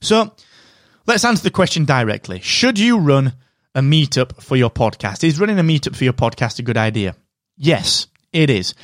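A man hosting a solo podcast, giving direct, energetic advice while sounding slightly nasal from a cold. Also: mid-range voice, moderate pace, no room sound, studio quality. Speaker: podcast host.

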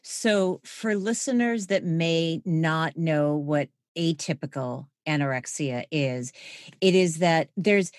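A woman hosts an interview in a very low, unhurried voice, recorded dry and close. She asks probing questions in a measured, affirming way. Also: darkish tone, no room sound. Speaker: podcast host.

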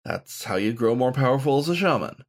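A gruff badger mentor played by a man in an audio story. He explains things frankly and informally, with lots of rise and fall in a mid-range voice. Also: medium pitch, expressive, brisk, voice pushed up, lightly acted. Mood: instructive.

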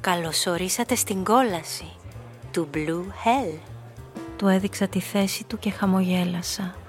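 An actress playing a cynical, seductive femme fatale, confessing her story in an intimate, breathy way in a noir radio play. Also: fairly low pitch, faint music behind, natural voice. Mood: cool, seductive. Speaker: narrator and lead character.

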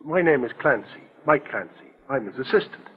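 Guy doing polite detective's sidekick, bouncy pitch, 40s radio drama, thin phone-like sound. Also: lively intonation, normal speed, a little hiss or hum, close to an everyday voice. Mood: businesslike. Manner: polite, businesslike. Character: deferential, plain-spoken male detective's assistant. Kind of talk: 1940s radio acting. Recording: tinny, phone-like 1940s radio recording.

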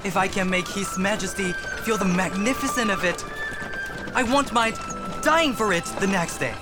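Radio drama: passionate teenage painter, deferential and very animated, music underneath. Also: male voice, sits high, normal speed. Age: young adult. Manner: earnest, respectful. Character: eager, deferential seventeen-year-old court painter, passionate young man. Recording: music behind the voice.

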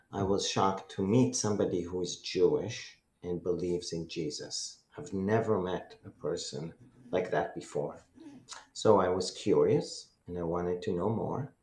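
A middle-aged man from Israel with an Israeli accent and Hebrew as his first language, telling his personal testimony as a radio guest in an earnest, measured way. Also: low voice, a little mellow, reverberant. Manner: earnest, measured storytelling with many "um"s.

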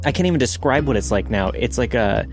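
Animated man over background music. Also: medium pitch, fast talker. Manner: animated storytelling with mimicked sounds. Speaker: podcast host. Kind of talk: casual chat, telling anecdotes.

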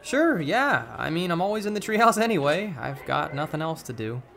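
A woman's voice: low-pitched, big pitch swings, normal speed, vocal fry, fairly bright tone, slight background noise, full character voice. A woman playing a blunt, wry, somewhat awkward teenage friend in a naturalistic audio drama. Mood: casual. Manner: stammering when flustered, casual, wry.

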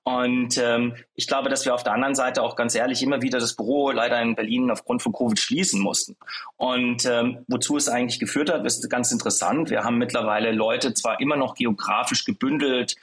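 A man's voice: medium pitch, fairly even intonation, fairly quick, a bit bright. A man being interviewed about his company, speaking with many hesitations and fillers. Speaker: startup founder guest.

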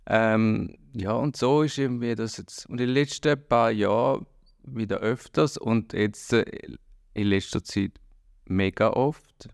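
A man's voice: on the low side, measured pace, gravelly, no echo, studio quality. A man in his late forties with a Swiss German accent, a guest on a podcast, speaking hesitantly and thoughtfully with many fillers. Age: 40s. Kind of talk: casual chat.

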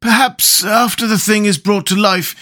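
A man's voice: high-pitched, fairly even intonation, normal speed, bright, forceful tone, pitched above the performer's own voice. A man voicing a cheerful, confident storybook scarecrow who reasons earnestly and explains things. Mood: confident reasoning.

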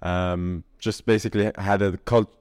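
A man in his twenties from Syria, a podcast guest telling his story in an animated way and laughing often. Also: low-pitched, moderate pace, clean tone, no echo, clean studio sound. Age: 20s. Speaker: podcast guest, mindset coach. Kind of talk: casual interview chat.

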